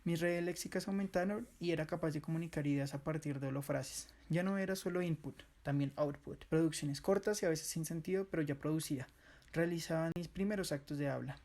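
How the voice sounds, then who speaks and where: A man reading a first-person account aloud for a student project, quick and earnest with small pauses. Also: a little high, a little mellow. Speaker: student presenter.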